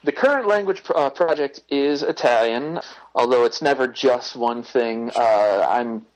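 A man from the United States, talking casually as an interview guest, chatty and quick to laugh at himself, with many fillers. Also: medium pitch, low-quality recording. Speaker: interview guest, language learner.

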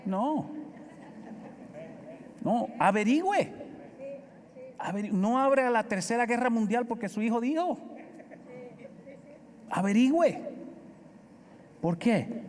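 A Dominican pastor preaching with a high, ringing voice. He drives his points home by repeating words and swings from forceful to hushed. The sound carries a long hall reverb, as in a church sanctuary. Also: lively intonation, slow, pauses often. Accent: Dominican Spanish. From Dominican Republic. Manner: preaching with emphatic repetition, swinging between loud and soft. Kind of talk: sermon.

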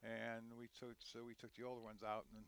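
A man's voice: medium pitch, monotone, a little fast, slight background noise. A man in his sixties, a guest on an interview podcast, telling long rambling stories full of restarts and fillers. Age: 60s. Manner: rambling, warm storytelling with many restarts. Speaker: guest, head equipment manager. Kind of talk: conversational interview.

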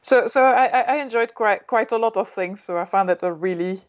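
A woman's voice: mid-range voice, a little fast, dull audio. A woman from Belgium, a guest on a podcast, speaking hesitantly with repeated words and light laughs. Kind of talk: casual interview chat.

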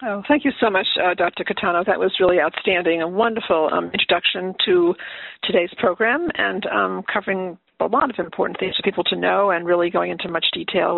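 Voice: medium pitch, vocal fry, slightly rough, no echo. A webinar moderator thanks the last speaker and formally reads out the next speaker's titles. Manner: polite, formal. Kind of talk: reading speaker introduction. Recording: thin phone-like webinar audio.

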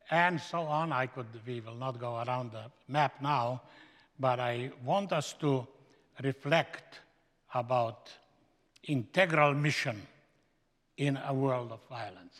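A man with a Slovenian accent, a guest speaker giving a slow, emphatic sermon in a seminary chapel. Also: mid-range voice, a bit bright. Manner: slow, emphatic preaching with stretched-out phrases. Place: large chapel. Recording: echoey hall with long reverb.

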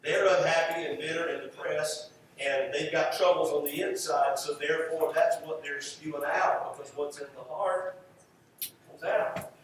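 A man's voice: fairly high pitch, steady tone, moderate pace. An American man preaching a fervent sermon, stressing and repeating phrases emphatically as he works through scripture. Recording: roomy echo, distant mic, faint noise.

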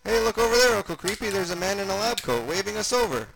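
A man voicing a timid, excitable young boy who sounds earnest and squealing, in a comic story. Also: sits high, quick pace, background noise, voice pushed up. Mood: cheerful.

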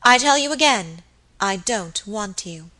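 A woman's voice: medium pitch, lively intonation, moderate pace, a bit bright, natural voice. A woman voicing a haughty lady in an audiobook, cold, hard and sternly contemptuous. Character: cold, haughty, fierce lady scolding her maid.

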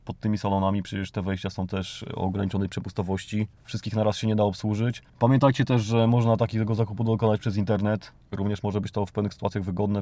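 A man's voice: lowish voice, mellow, no room sound. A Polish man hosting a podcast, delivering a tongue-in-cheek monologue in a mock-earnest, exhorting manner. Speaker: podcast host.

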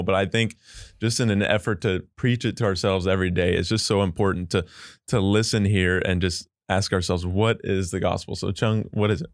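Brief: male podcast host, lowish voice, dry room, earnest interviewer. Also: dry recording. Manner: earnest, leads the questions. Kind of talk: casual podcast chat.

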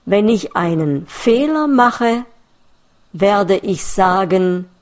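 Woman reader, very slow, measured scripted delivery. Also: medium pitch. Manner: calm, measured, deliberate phrasing. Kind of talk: slow scripted reading of a learner's manifesto.

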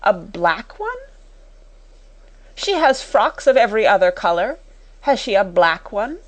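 A woman's voice: medium pitch, lively intonation, moderate pace, close to an everyday voice. A woman voicing a timid, tearful, bewildered meek sister in a storybook, anxious and stammering. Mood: flustered. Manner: stammering, anxious, breathy panting.

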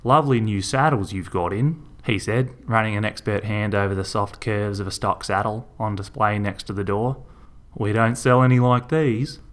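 A man's voice: on the low side, steady tone, normal speed, a bit bright, close to an everyday voice. A man voicing an easygoing male shopkeeper neighbor with an Australian accent, speaking mildly. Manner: mild, friendly.

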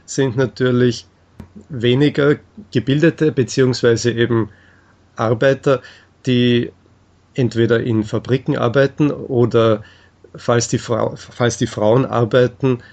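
A man answering in an interview, explaining things in a halting, measured way with frequent hesitations. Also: medium pitch, fairly even intonation, a little mellow.

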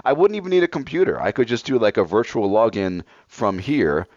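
Laid-back deep-voiced man, slightly scratchy. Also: sing-song, fairly quick, darkish tone, no echo, lo-fi sound. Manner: laid-back, blunt, swears casually. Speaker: podcast co-host. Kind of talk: casual chat.

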